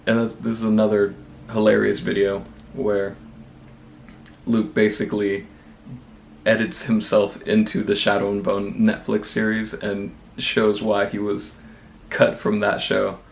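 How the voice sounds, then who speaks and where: A young man with a cold, congested, chatting casually and rambling in a relaxed, self-deprecating way as a book vlogger. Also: lowish voice, measured pace, gravelly, slight background noise. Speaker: booktube vlogger. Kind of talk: casual vlog chat.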